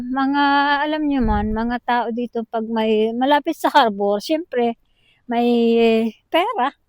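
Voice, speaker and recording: A woman's voice: high-pitched, no echo, poor audio. Chatty Filipino woman vlogging outdoors. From Philippines. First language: Tagalog. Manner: chatty, halting, mixes in Tagalog, laughs often. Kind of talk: casual travel vlog walking tour. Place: outdoors by a windy harbor.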